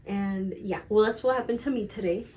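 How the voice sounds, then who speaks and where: A young woman with a slightly raspy, medium-pitched voice talks as a vlogger. Her delivery is casual and confessional, candid and slangy, with emphatic stress on her points. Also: fairly quick, dark tone. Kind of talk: casual confessional chat to camera.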